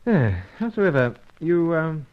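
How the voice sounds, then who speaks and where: Low-voiced, animated British agent, clipped and pipe-muffled; dull old radio drama recording. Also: male voice, takes it slowly. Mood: suave. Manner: clipped upper-class British, puffing on pipe. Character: suave British secret agent, pipe-smoking. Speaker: narrator and lead. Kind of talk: 1950s radio drama acting.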